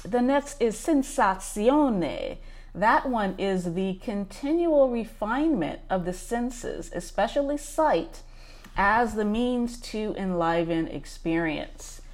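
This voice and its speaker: A woman's voice: mid-range voice, expressive, slow, darkish tone. A woman hosting a book club podcast, talking through a list in a casual, enthusiastic way with frequent ums.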